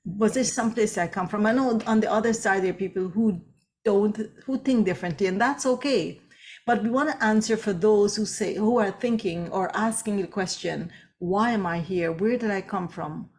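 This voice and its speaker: A woman's voice: mid-range voice, moderate pace, a little mellow. A woman interviewing an author about her faith, emotional, laughing often and asking rambling questions.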